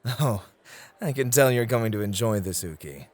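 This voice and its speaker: A man playing a flirty, gentlemanly club host who teases and gently coaches in an ASMR roleplay, with courteous, theatrical phrasing. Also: on the low side, expressive, normal speed, no room sound. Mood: gentle, amused.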